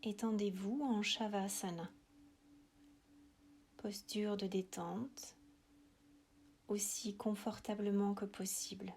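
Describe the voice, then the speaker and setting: A woman with a medium-pitched voice guides a deep relaxation session. Her delivery is very slow and hushed, in short measured phrases with pauses between them. The ends of her phrases often soften into a breathy near-whisper. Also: flat delivery. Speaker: yoga nidra relaxation guide.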